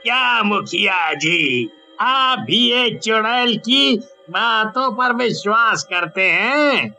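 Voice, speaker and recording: Man playing a sharp, very slow doubting villager. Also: high-pitched, animated, soft background music, exaggerated voice. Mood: suspicious. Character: doubting, questioning male villager. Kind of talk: animated horror story dialogue.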